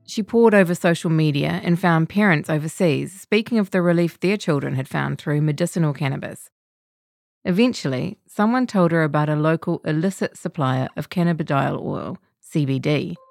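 A woman's voice: deep voice, vocal fry. New woman narrating a scripted feature. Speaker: reporter.

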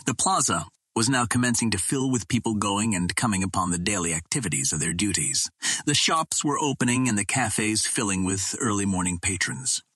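A man narrates a classic adventure novel in a low voice with a husky edge. The sound is dry, with very little room around it. He reads as an audiobook narrator, from a script. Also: animated, brisk, fairly bright tone, dry recording. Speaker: audiobook reader.

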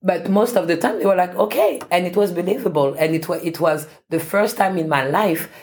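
Interview guest: woman professor from France, deep voice, reflective, many fillers and restarts. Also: fairly quick, a little mellow.

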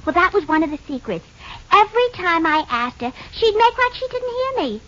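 Very high-voiced sassy American showgirl. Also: sing-song, normal speed, pushed and bright, exaggerated voice. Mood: confiding. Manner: affected refinement with slangy slips. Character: chatty, sassy, genteel-trying female band musician. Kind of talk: 1940s radio comedy-drama acting. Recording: dull old radio broadcast recording.

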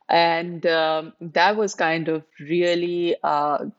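A woman's voice: deep voice, muffled recording. A woman from India, a podcast guest telling her story reflectively over a video call, with many filler ums and uhs. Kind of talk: conversational storytelling.

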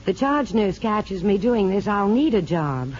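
A woman's voice: medium pitch, very animated, measured pace, a little mellow. An actress playing an earnest young woman in a restrained, plain-spoken 1950s radio drama style. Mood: gently teasing. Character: earnest young woman, former prisoner working as nurse's aide. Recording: dull, hissy 1950s radio broadcast.

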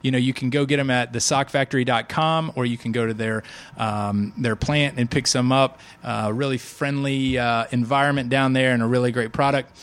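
A man's voice: mid-range voice, steady tone, moderate pace, a bit bright. A man of forty hosting a sports podcast, joking and chatty, often restarting his sentences. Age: 40s. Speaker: podcast host. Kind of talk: casual sports podcast chat.

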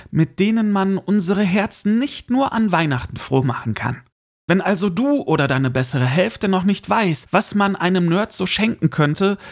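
Creaky, higher-pitched man podcasting. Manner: chatty, informal, addresses listener directly. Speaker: podcast host. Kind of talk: casual solo podcast monologue with gift tips.

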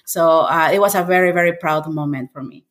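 A woman's voice: low-pitched, brisk. A woman in her forties from Peru, with a Peruvian Spanish accent and Spanish as her first language, talking as a guest and often restarting phrases while she searches for words. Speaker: guest author. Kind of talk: casual interview conversation.

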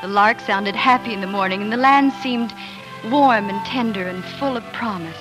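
An actress playing a tender, weary young pioneer wife in earnest, emotional 1940s radio drama style. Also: female voice, medium pitch, moderate pace, natural voice. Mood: wistful narration. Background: music.

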